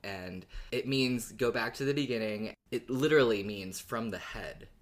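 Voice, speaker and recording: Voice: mid-range voice, moderate pace. A podcast co-host chatting casually and expressively in a fan discussion, swearing freely.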